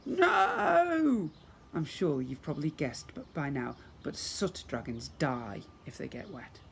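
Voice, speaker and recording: A man reading a children's storybook aloud as a teacher, in an expressive, dramatic storytelling manner. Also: medium pitch, moderate pace, vocal fry, slight background noise.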